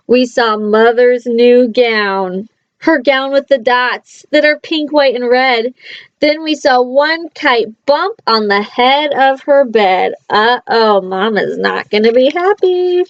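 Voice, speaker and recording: A woman's voice: a little high, expressive, slow pace, no room sound, lo-fi sound. A woman reading a picture book aloud to young children like a teacher, expressively, with simple chatty asides. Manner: simple, child-directed phrasing.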